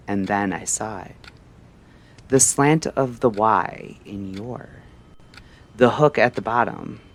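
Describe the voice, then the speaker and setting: A man narrating a scripted story like a late-night radio host, in a measured, theatrical way with short phrases and pauses. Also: medium pitch.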